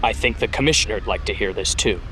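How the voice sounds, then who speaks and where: A man's voice: fairly low pitch, fast talker, pushed and bright, slight background noise. A man playing a stern, commanding young masked vigilante hero in an audio drama, speaking tersely.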